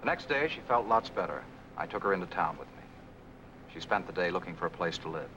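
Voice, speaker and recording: An actor playing an earnest, lovestruck young American man, gentle and protective, in a 1940s Hollywood film. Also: deep voice, expressive, fast talker, dull audio, background noise. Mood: earnest, smitten. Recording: old film soundtrack, music behind.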